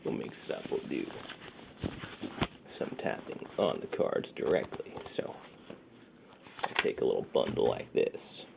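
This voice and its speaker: A man playing a friendly, secretive underground ASMR dealer in a soft, breathy, half-whispered roleplay voice. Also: extremely low pitch, sing-song, heavy background noise, full character voice. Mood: hushed, reassuring. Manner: slow, hushed, conversational.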